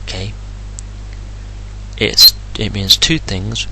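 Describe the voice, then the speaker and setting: An English man rants into his camera in a blunt, indignant way, swearing without a second thought. His voice sits on the low side but has a bright, forceful edge. A faint electrical hum runs underneath. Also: expressive, slow pace, vocal fry. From England. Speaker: vlogger. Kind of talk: ranting solo vlog.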